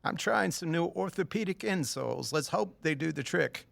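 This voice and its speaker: A man's voice: on the high side, lively intonation, moderate pace, vocal fry, fairly bright tone, dry recording, exaggerated voice. An actor playing a hearty, fussy middle-aged tour guide and father in an audio drama, genial and a little formal.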